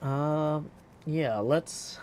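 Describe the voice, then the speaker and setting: A man with a somewhat high voice gives casual commentary as a game streamer. He thinks aloud, hesitating as he goes. There is faint noise behind him. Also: extremely slow, a little mellow. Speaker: livestream host.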